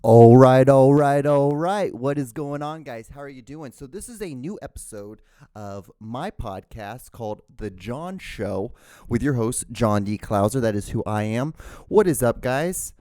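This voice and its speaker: A man's voice: mid-range voice, expressive, a little slow, warm tone, smooth, no echo, clean studio sound. A man from Honduras hosting his own podcast, talking casually and ranting with lots of profanity and stammered restarts. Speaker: podcast host. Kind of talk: casual solo podcast monologue.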